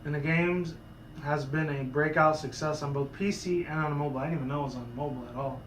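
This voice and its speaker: A man with a sore, hoarse voice, talking in a casual, rambling commentary vlog full of asides and restarts. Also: a little high, fairly even intonation, fast, reverberant, a little hiss or hum, low-quality recording. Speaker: YouTuber.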